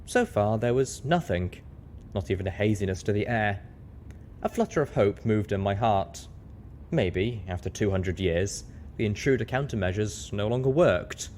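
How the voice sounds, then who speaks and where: A man's voice: on the low side, lively intonation, normal speed, a little mellow, slight background noise. A man reading scripted first-person fiction as the narrator of an audio story.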